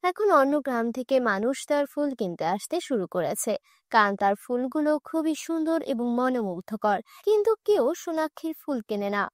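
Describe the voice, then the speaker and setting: A woman's voice: high voice, very animated, normal speed, no echo, studio quality. A woman narrator reading a scripted children's fairy tale. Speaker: storyteller narrator.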